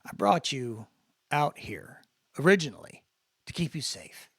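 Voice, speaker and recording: A man's voice: a little high, very animated, a little slow, fairly bright tone, breathy edge, dry recording, clean studio sound, exaggerated voice. A man voicing a protective, blunt and sardonic big brother in a dramatic audiobook. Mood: worried, reproachful. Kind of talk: dramatic audiobook character dialogue.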